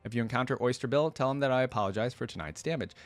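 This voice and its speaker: A serene, formal warrior woman who slays demons as a man reads her out. The phrasing is measured and theatrical, storybook in style, with a dry close sound. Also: medium pitch, dry recording, lightly acted. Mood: solemn, courteous.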